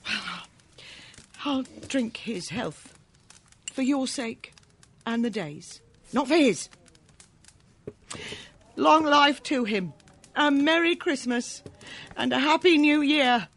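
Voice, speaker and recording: An actress playing a caring but outspoken wife and mother in a family radio drama, fussing and sharp-tongued. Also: sits high, expressive, slow, long pauses, heavily acted. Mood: grudging.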